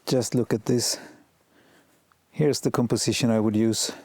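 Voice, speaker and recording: A man with a cold and a sore throat, vlogging outdoors at night and thinking aloud with pauses and restarts. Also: mid-range voice, steady tone, a little mellow, no echo, clean studio sound. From Sweden. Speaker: photography vlogger. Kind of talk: casual vlog narration.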